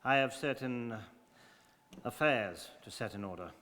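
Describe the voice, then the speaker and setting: A man playing a reserved, precise English gentleman in a radio drama, speaking formally and with stoic restraint. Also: medium pitch, a little slow, close to an everyday voice. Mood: curt, composed. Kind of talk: stage radio drama acting. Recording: very reverberant studio hall.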